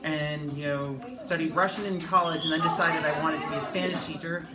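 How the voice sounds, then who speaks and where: A woman's voice: low voice, fairly even intonation, fairly quick, very noisy. A woman in her thirties, a Spanish teacher, talking casually and matter-of-factly in a school news interview. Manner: casual, matter-of-fact storytelling. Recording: echoey, far from mic, loud crowd behind.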